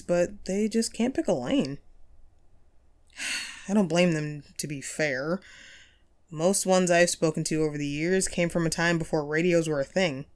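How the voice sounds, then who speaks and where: A woman playing a chatty, upbeat ghost of a radio host and ghost hunter in an audio roleplay, playful and musing in manner. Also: on the low side. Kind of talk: scripted one-sided audio roleplay, conversational.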